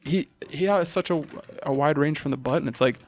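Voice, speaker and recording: A man's voice: on the high side, fairly quick. A man casually commentating a live poker stream with a co-host, laughing often and rambling a little. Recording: dull stream audio with faint hiss.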